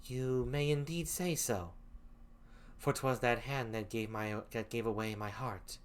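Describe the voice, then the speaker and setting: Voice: mid-range voice, measured pace, voice pushed down, natural voice. A reader voicing an earnest noble wife. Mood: pleading. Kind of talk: reading a play aloud.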